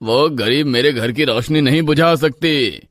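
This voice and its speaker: A man's voice: medium pitch, measured pace, a bit bright, no echo, natural voice. An actor playing an arrogant, wealthy middle-aged village man in a storybook tale, with an emphatic, dismissive manner.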